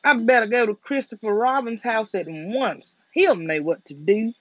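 A storyteller voicing a gentle, simple-minded male storybook bear, musing and earnest with a slight fret. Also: mid-range voice, normal speed, no room sound, highs cut off, lightly acted. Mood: earnest, fretful.

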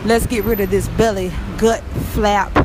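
A woman's voice: medium pitch, steady tone, a little slow, noisy background. A woman hosting a wellness segment, talking candidly and joking in a casual vlog. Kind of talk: casual self-recorded vlog.

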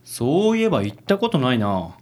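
Man acting a suave, animated handsome gentleman. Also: medium pitch, fairly quick, natural voice. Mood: suave, assured. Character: suave, affected, good-natured handsome company president. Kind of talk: radio drama acting.

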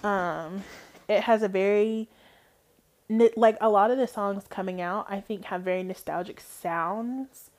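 A woman's voice: mid-range voice, measured pace, darkish tone. A young woman from North Georgia with an Atlanta Southern accent, chatting casually on her podcast, rambling with lots of fillers. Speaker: podcast host. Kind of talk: casual chat.

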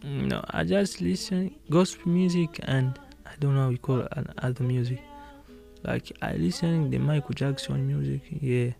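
A man with a Congolese accent answering interview questions in halting, non-native English, often repeating words and laughing. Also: mid-range voice, vocal fry, mellow, soft background music. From Democratic Republic of Congo. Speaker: guest musician.

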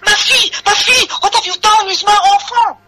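A woman voicing a distraught mother, shrill and melodramatic. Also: steady tone, a little fast, bright, forceful tone, a little hiss or hum. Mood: frantic panic. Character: shrieking distraught French mother of a lost child.

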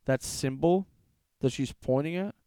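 A man's voice: on the high side, a little slow, warm tone, clean tone, no room sound, clean studio sound. A man co-hosting a podcast, talking bluntly with a lot of swearing and prodding his partner to keep going. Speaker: podcast co-host. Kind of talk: casual chat.

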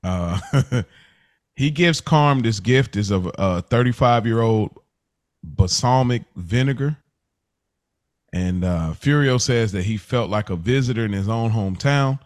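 Podcast host, American man, lowish voice, dry close sound, colloquial and thinking out loud. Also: expressive, measured pace, dry recording. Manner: colloquial, thinking aloud, checking in with listeners. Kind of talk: casual TV show discussion.

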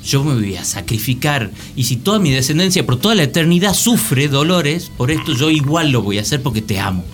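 A man's voice: fairly high pitch, big pitch swings, fairly quick. An Argentine man co-hosting a radio show, talking in casual panel discussion. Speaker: radio co-host. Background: background music.

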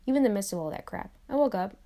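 A woman's voice: medium pitch, animated, very fast, warm tone. A young adult woman speaking to camera in a reflective motivational monologue, repeating phrases and tossing in conversational asides. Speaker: vlogger.

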